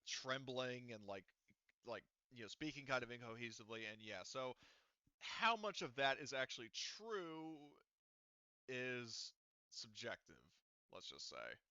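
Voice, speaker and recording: A man running a tabletop roleplaying game as game master, talking in a rambling, halting way full of fillers. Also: mid-range voice, very animated, bright, forceful tone, clear, resonant tone, no room sound. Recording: dull, lo-fi.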